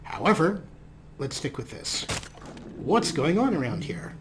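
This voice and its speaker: Let's-play host, male, sarcastic and playful, fairly high with a bouncy, lively pitch. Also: a little slow, background noise.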